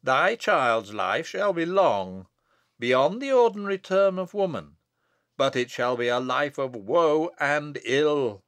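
Man acting a stern, booming, wrathful abbot. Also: animated, slow, fairly bright tone, dry recording, exaggerated voice. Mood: prophetic, ominous. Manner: declamatory, solemn, archaic incantatory speech. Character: stern, wrathful abbot pronouncing a prophetic curse.

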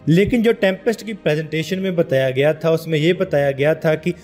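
A man from India with a Punjabi accent, narrating a scripted defence news explainer as a steady voice-over. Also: a little high, brisk, soft background music.

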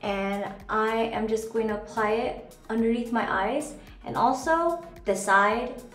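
A woman's voice: on the high side, a little slow, a bit bright, reverberant. Chatty 20s woman vlogging at a bathroom mirror, bubbly, lots of likes, faint music under. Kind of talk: casual skincare tutorial talk.

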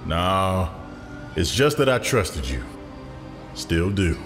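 An actor playing an earnest, ambitious district attorney in an audio drama, with a measured, persuasive way of talking. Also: male voice, low voice, sing-song, fairly bright tone, full character voice. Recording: long reverb, music underneath.

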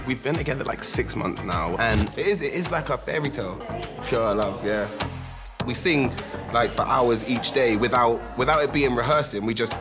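A man's voice: medium pitch, animated, moderate pace. A young man competing on a talent show, talking earnestly and gushing with restarts. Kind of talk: eager unscripted interview chat. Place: TV studio audition stage. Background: music.

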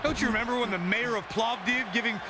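A man's voice: very high voice, quick pace. A man voicing a bombastic wrestling color commentator in a video game. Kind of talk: scripted sports commentary. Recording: game audio with loud background noise.

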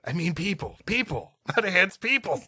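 Male podcast co-host, playful story reader, bright and animated, dry recording. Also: high-pitched, normal speed, husky, no echo. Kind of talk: reading an old adventure story aloud with comic banter.

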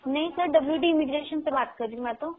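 Thin phone audio, young call center lady, high voice, polite but firm. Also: quick pace, narrow, tinny audio. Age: young adult. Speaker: immigration call centre agent. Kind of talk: customer service phone call.

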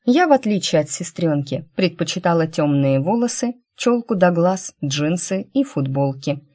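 Lively low-pitched woman reading an audiobook. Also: normal speed. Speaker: audiobook narrator. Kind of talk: expressive scripted narration of a first-person fantasy novel.

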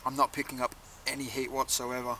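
A man's voice: medium pitch, completely flat delivery, brisk, sharp tone, a little hiss or hum, rough recording. A young man giving a casual, laid-back review to camera in a conversational way. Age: young adult. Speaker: chilli reviewer, video host. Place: outdoors in a leafy garden.

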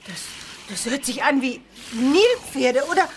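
A woman's voice: high voice, big pitch swings, a little slow, a little hiss or hum. An actress playing an anxious young female adventurer in an audio drama, urgent in manner. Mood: uneasy. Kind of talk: German audio drama acting.

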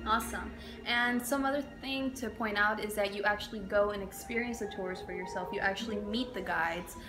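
A woman's voice: mid-range voice, steady tone, a little fast. A young woman hosting a casual, conversational interview. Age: young adult. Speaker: interviewer and vlog host. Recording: music behind the voice.

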